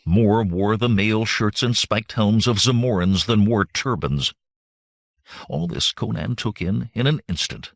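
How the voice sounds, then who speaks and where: A man's voice: low voice, dry recording, clean studio sound. A man reading fantasy adventure fiction as a dramatic audiobook narrator.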